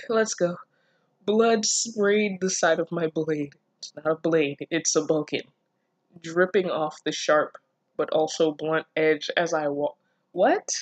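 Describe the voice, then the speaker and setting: A woman with a slightly low voice reads a story aloud in a casual, reactive way. She sounds incredulous and self-deprecating, dropping in swearing as commentary. The recording is close and dry, with little room sound. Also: darkish tone, slightly rough, dry recording, highs cut off. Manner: giggly, breaking off to comment. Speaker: host reading fan fiction aloud.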